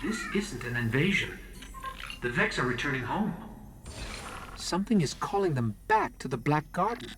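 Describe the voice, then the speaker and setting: A man voicing a small, earnest, curious robot companion in a video game, with an expressive, urgent delivery. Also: on the high side, normal speed, long pauses, background noise. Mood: grave, focused. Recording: game music behind the voice. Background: video game soundtrack.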